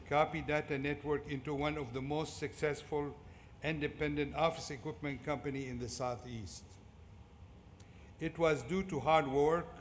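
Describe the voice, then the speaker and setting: Echoey speech by a Syrian-accented man. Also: a little high. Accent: Syrian Arabic. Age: middle-aged. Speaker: commencement speaker. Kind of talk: prepared motivational speech. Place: large indoor fieldhouse at a ceremony. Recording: echoey fieldhouse PA with clear background noise.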